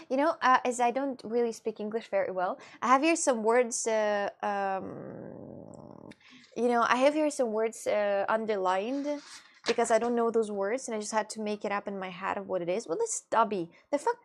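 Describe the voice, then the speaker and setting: Young streamer, woman, slightly high voice, halting chatty English with lots of fillers and laughs. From Russia. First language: Russian. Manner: hesitant, halting English with many fillers and giggles. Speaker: livestreamer. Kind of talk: casual live-stream chat with viewers.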